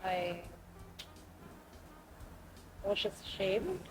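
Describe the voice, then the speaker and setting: A woman's voice: mid-range voice, big pitch swings, very slow, rough recording. Young woman telling her story over music. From Fiji. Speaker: podcast guest, postgraduate student. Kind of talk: personal account in an interview. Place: couch in a room.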